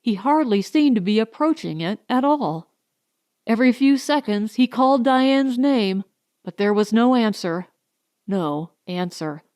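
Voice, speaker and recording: A woman reading a science fiction audiobook as a dramatic narrator, with deliberate, suspenseful, weighted phrasing. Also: a little high, lively intonation, darkish tone, no echo, studio quality.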